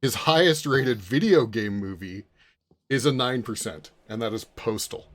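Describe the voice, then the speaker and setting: A man hosting a panel podcast in a casual, conversational way, often pausing to find his words. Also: medium pitch, expressive. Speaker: podcast host leading a panel.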